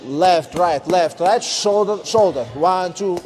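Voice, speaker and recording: A man runs boxing drills, calling out numbers and short commands in broken English. His voice sits a touch high. He is recorded in a gym ring by a camera some way off. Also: slow pace, over music. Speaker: boxing coach.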